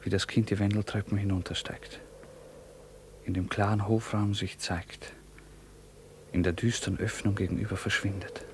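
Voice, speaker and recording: A man narrating a radio play in a measured, solemn, formal literary manner. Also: fairly low pitch, little pitch movement, slight background noise.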